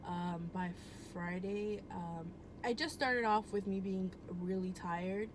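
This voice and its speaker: A woman's voice: medium pitch, slow pace. A young woman telling a personal story at home in a casual, rambling way, full of "um" and "like" and easy swearing. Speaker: vlogger. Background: air conditioner hum.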